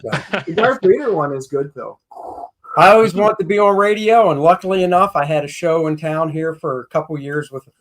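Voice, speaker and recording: A man's voice: on the high side, a little fast. A man hosting a casual interview show, chatty and encouraging, with joking asides to his guests. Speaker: show host.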